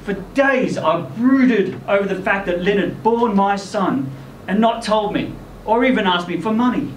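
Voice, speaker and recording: High-pitched man reading a story, echoey room. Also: lively intonation, a little slow. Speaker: story reader performing in character as ageing rock singer. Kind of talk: dramatic first-person short story reading. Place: indoor live literary event venue. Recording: echoey room, distant mic, faint noise.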